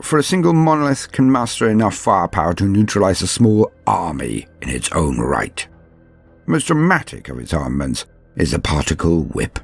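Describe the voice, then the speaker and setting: A man hosting a lore guide, reading scripted narration in a theatrical, measured and formal manner. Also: low voice, big pitch swings, vocal fry, slightly rough, dry recording, soft background music. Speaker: host of a Warhammer lore guide.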